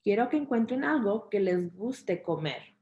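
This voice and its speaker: A woman's voice: medium pitch, animated, moderate pace, dark tone, muffled recording. A woman interpreting a children's program, relaying instructions and fun facts to families and kids.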